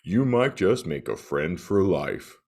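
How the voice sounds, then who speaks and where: A man's voice: low voice, very animated, slow pace, vocal fry, a little mellow, full character voice. A man voicing an aggrieved, self-pitying huge ship, earnest and melodramatic in a mock-serious dramatic reading. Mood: pleading, hopeful.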